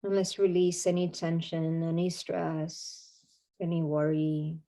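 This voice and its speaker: Mellow, low-voiced woman guiding a meditation. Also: little pitch movement. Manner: soothing, unhurried, short phrases with long pauses. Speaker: meditation guide. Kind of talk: guided meditation narration.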